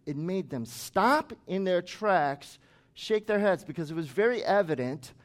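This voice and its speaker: A male pastor delivering a sermon with a voice pitched a bit above most men's. He preaches conversationally, breaking into chatty asides. He grew up in the United States.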